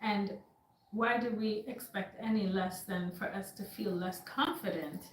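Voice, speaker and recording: A woman's voice: medium pitch, a little slow, a little hiss or hum. A woman taking part in a group discussion, speaking earnestly and searching for her words. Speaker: guest participant. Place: indoor group gathering. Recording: echoey room, a bit off-mic.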